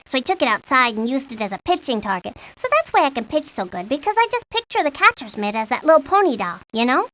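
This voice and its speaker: High scrappy little girl, pushy and lively, slightly muffled old game audio. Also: fast, hardly any pauses, heavily acted. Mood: feisty, chatty. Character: feisty, candy-loving tomboy little girl. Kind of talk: cartoon game character lines.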